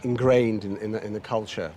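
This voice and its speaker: A man with an English accent who runs a water taxi, chatting in a relaxed way. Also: on the low side, brisk, music in the background.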